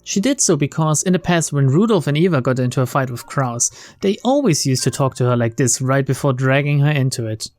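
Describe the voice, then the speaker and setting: Let's player, medium-pitched voice, reads visual novel narration with casual sweary reactions, soft music behind. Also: animated, brisk, hardly any pauses. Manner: sweary, informal reactions between read lines. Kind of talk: narration reading with casual asides.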